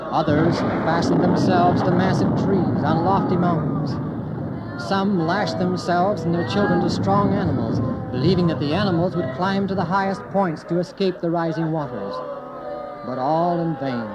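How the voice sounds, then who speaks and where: A man's voice: high-pitched, normal speed, heavy background noise. A man narrating a scripted Bible story with grave, dramatic storytelling. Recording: dull lo-fi recording.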